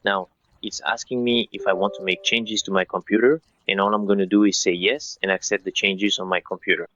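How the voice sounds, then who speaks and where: A man in his thirties narrating a step-by-step software tutorial in an instructional way, speaking directly to viewers. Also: lowish voice, level tone, brisk, lo-fi sound, a little hiss or hum. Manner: instructional, addresses viewers as guys.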